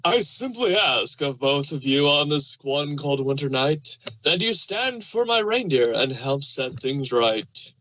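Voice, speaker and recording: A man's voice: fairly high pitch, very animated, measured pace, few pauses, sharp tone, heavily acted, poor audio. A man voicing a jolly old Santa Claus, kindly and hearty, telling his lines in storybook rhyme. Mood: friendly, pleading. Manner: hearty, theatrical storytelling in verse. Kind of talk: rhyming storybook fan fiction reading.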